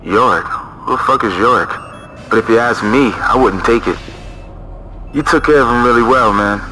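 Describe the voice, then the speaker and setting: A man's voice: mid-range voice, moderate pace, background noise, lightly acted. A man voicing a brash, laid-back soldier buddy in a video game, with a casual, cocky, slangy delivery.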